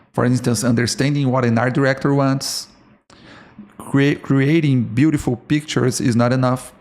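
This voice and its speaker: A man with a Brazilian Portuguese accent talks straight to fellow artists on his own podcast. His voice sits at a middle pitch with a gravelly, fry-touched texture. English is his second language, so he restarts words and keeps checking in with "okay?". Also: darkish tone. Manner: earnest, repeats and restarts words, frequent "okay?". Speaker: podcast host. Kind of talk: solo podcast talk to artists.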